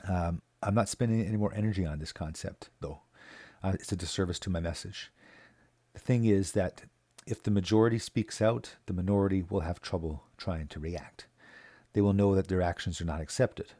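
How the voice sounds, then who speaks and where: Earnest, low-voiced man. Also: normal speed, dark tone, no room sound, studio quality. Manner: earnest, plain-spoken, with frequent fillers and restarts. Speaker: local community advocate. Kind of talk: casual spoken video update.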